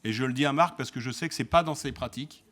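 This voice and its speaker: A man's voice: medium pitch, fast, fairly bright tone. Guy arguing back in a council meeting, sarcastic, indignant, keeps restarting his sentences. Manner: emphatic, with hesitant restarts. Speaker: local elected official. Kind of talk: council debate speech.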